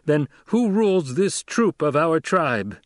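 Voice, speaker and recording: A man voicing a young male desert leader who speaks forcefully and questions his listeners rhetorically, in an audiobook. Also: fairly high pitch, very animated, slow pace, no echo, studio quality, voice pushed up. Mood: commanding. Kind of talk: audiobook character voice.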